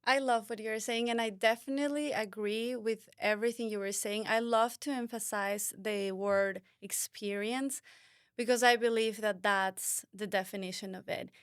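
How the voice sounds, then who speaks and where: A woman's voice: medium pitch, clean tone, no room sound, studio quality. A woman from Ecuador with an Ecuadorian Spanish accent, a UX designer co-hosting a podcast in a friendly, agreeable way. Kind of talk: casual conversational podcast.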